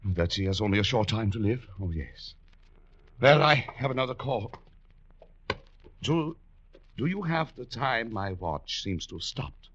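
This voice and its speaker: An actor playing a suave, patronizing society doctor with a soothing, unctuous manner, in 1940s radio style. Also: male voice, on the low side, animated, a little slow, pauses often, fairly bright tone, breathy edge, full character voice. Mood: grave. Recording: dull, muddy old radio recording.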